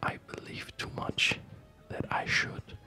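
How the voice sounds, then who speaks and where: A man whispering a rambling, reflective ASMR commentary while he plays a game, with a breathy voice. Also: slow pace, pushed and bright, soft background music.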